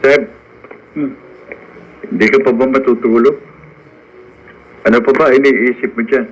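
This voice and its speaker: An actor playing a plainspoken, skeptical farmhand and friend in a Filipino radio drama. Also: male voice, medium pitch, steady tone, measured pace, slightly rough, muffled recording, faint music behind. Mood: teasing, realistic. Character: down-to-earth male farmhand, frank, skeptical friend.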